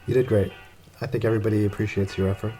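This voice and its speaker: A man's voice: fairly low pitch, level tone, racing, dark tone, faint music behind. A male podcast host asking an interview guest brief, encouraging questions.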